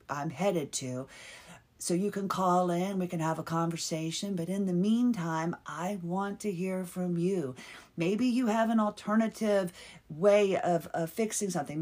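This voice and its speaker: A woman hosting her own podcast, talking earnestly and haltingly, often restarting and repeating words. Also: fairly low pitch. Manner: earnest and heartfelt, with bursts of laughter. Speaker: podcast host. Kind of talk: casual solo podcast monologue.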